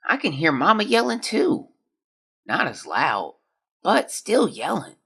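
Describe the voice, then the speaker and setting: An actor performs a sick young child in an audio drama, speaking a diary aloud. The voice is husky and bright, swinging widely in pitch. The delivery is plaintive, hesitant and frightened. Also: huge pitch swings, measured pace, exaggerated voice. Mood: weary, upset.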